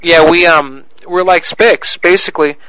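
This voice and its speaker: A man's voice: fairly high pitch, steady tone, a little slow, bright, forceful tone, smooth, no room sound. A man making a prank phone call in a crude, pushy, mock-serious manner. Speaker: prank caller.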